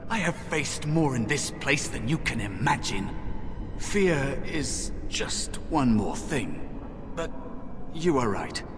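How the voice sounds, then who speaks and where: Timid fantasy game spirit: slow, downcast, swinging pitch, reverberant. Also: male voice, very animated, music in the background. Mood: hesitant, resigned. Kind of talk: fantasy video game voice acting. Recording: long reverb, clear background noise.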